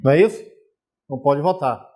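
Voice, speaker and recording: A man with a fairly high voice and a Brazilian Portuguese accent teaches a recorded programming lesson. He walks through each step slowly, often stopping to ask if the viewers are following. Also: mellow. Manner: explains step by step, checks in with viewers. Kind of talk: recorded distance-learning lesson.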